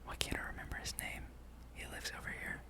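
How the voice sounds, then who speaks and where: Whispering ASMR gaming host, rambling softly. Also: quick pace. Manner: rambling, thinking aloud.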